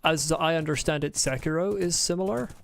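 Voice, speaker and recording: A man's voice: on the high side, a little fast, creaky, fairly bright tone, a little hiss or hum. A man with a mild Scottish accent, chatting casually while streaming a game, rambling and swearing bluntly as he rants. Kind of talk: casual live-stream chat while gaming.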